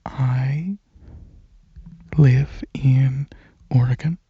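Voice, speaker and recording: A young man giving a soft-spoken, casual ASMR ramble, halting and hesitant, often slipping into breathy whispers. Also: mid-range voice, fairly even intonation, dry recording, lo-fi sound. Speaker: ASMR video creator and channel host.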